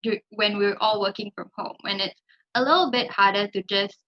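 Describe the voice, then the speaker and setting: A young woman who recently started as a graduate trainee, talking in a relaxed, conversational way from home on a video call. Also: mid-range voice, moderate pace. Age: young adult. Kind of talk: casual panel talk. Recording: slightly muffled video call audio.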